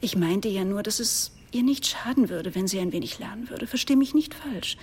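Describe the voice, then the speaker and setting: A woman's voice: mid-range voice, moderate pace, a little mellow, slight background noise, natural voice. Actress as a poised, sensible middle-aged woman. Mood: composed, gracious. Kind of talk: naturalistic radio drama acting.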